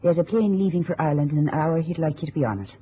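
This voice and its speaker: A cool, efficient woman courier in a vintage detective radio play. Her voice is very husky and breathy, and the recording is muffled. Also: low voice, rapid-fire. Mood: cool, polite. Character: cool, businesslike female army courier, smoothly deceptive. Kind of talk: old-time radio drama acting.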